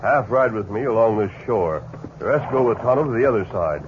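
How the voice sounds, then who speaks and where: A man's voice: on the low side, animated, a little fast, lightly acted. A man playing a resolute, logical masked western hero with formal, authoritative phrasing in a 1950s radio drama. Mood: calm, commanding. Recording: dull, noisy old radio recording.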